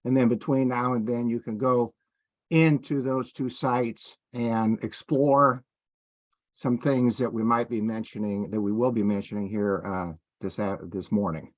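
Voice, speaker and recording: A man speaking as a club district leader, giving informal, somewhat halting welcome remarks. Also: mid-range voice, steady tone, no echo. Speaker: Rotary district governor opening a training session.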